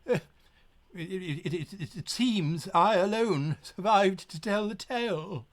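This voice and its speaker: A man's voice: high-pitched, takes it slowly. A man voicing a rescued shipwrecked merchant who stammers and repeats his words. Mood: stammering.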